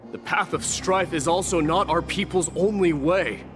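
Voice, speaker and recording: A man's voice: a little high, normal speed, faint music behind, close to an everyday voice. A man playing a solemn, proud warrior prince in a video game, respectful and restrained, speaking formally. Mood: guarded.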